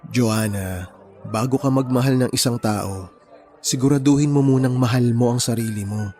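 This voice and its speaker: Kind young male friend, played by an actor, mid-range voice, gentle, earnest advice-giving, romantic radio drama. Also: medium pitch, moderate pace, soft background music, natural voice.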